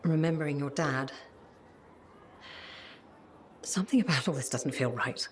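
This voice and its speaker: A woman's voice: sits low, fast talker, slight background noise, lightly acted. An actress playing a confused, grieving middle-aged mother in a video game, wistful and hesitant. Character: fragile, confused, grieving older widowed mother. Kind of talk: video game dramatic acting.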